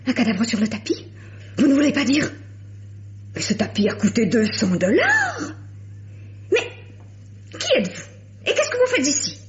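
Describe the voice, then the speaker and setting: A woman's voice: high voice, wildly swooping pitch, normal speed, long pauses. An actress playing a flighty, nervous landlady in a theatrical, exclamatory old radio mystery style. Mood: indignant, flustered. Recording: muffled vintage radio recording.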